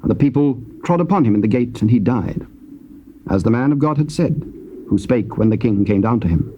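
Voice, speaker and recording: Solemn male narrator with a mellow voice. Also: medium pitch, expressive, lo-fi sound, slight background noise. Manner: measured, solemn reading. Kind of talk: scripted scripture narration.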